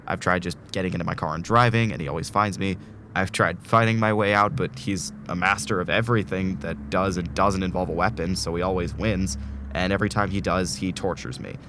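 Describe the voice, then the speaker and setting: A man's voice: deep voice, steady tone, a little fast, background noise, close to an everyday voice. A man acting a desperate, tormented patient in a student audio drama, speaking in a weary, urgent, rambling way. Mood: exhausted, bitter. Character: desperate, tormented man trapped in torture loop.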